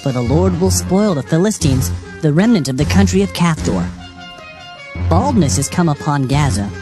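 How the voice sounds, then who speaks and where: Female scripture reader, lowish pitch, highly animated solemn declamation over a clear music bed. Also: normal speed. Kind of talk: dramatized King James Bible reading.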